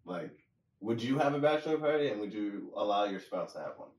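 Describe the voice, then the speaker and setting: Animated, profane young man in an echoey room. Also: lowish voice, brisk. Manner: profane, blunt, acts out quoted dialogue. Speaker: podcast co-host. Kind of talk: casual group banter. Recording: echoey room, distant mic.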